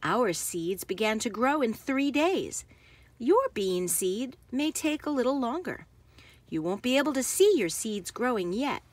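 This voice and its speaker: Slow, lilting woman reading to children. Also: fairly high pitch, no echo. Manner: careful, expressive phrasing for young listeners. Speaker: children's book reader. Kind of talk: read-aloud narration for young children.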